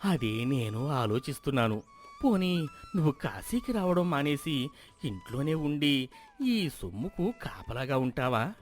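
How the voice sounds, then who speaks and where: A man's voice: medium pitch, sing-song, unhurried, faint music behind. A man playing an earnest, trusting, hardworking villager who speaks respectfully and plainly in a moral story. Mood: thoughtful.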